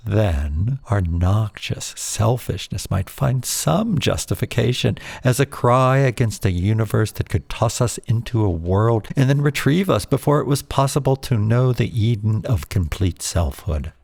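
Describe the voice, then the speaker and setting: A man recites his poetry in a fairly low voice, taking his time over every phrase. His delivery is solemn and measured, very slow and deliberate. The sound is dry, with no room around it. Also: lively intonation, vocal fry, darkish tone, no room sound. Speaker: poet reading own poem.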